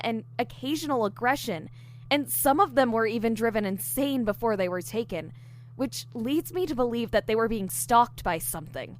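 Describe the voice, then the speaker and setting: A woman's voice: on the high side, lightly acted. A woman playing a friendly, earnest young investigator in an audio drama. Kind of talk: audio fiction acting.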